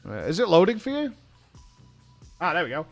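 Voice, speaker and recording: Animated British man, higher-pitched voice. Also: fairly quick, soft background music. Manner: thinking aloud, colloquial, blunt. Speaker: host of a gaming reaction show. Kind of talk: casual live reaction commentary.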